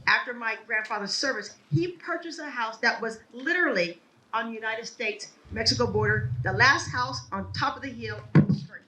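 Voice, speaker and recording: A woman giving a prepared speech at a ceremony, telling her family's history in a measured, earnest way. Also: on the high side, bright, forceful tone, far from the mic, slight background noise, rough recording. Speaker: ceremony speaker. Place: outdoor ceremony.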